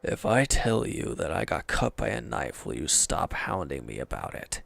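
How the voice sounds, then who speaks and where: Man acting a gravelly, wounded, sullen villain. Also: a bass voice, sing-song, a bit bright, heavily acted. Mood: defensive, irritable. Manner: sullen, halting, sighing, dropping into near-whispers. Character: wounded, defeated male villain henchman, bitter, defensive, despondent. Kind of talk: intimate audio roleplay drama.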